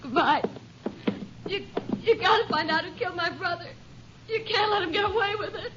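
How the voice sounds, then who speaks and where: An actress playing a fragile, bereaved young rural wife in melodramatic old radio style, breathy, halting and emotional. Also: female voice, very high-pitched, noisy background. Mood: grieving, tearful. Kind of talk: 1940s-50s melodramatic radio acting. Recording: very muffled old radio recording.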